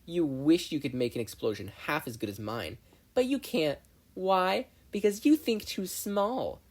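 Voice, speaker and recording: A performer voicing a brash, self-important, excitable teenage girl inventor in a story reading. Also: mid-range voice, a little slow, voice pushed up. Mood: boastful, excited. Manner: loud, exasperated, boastful.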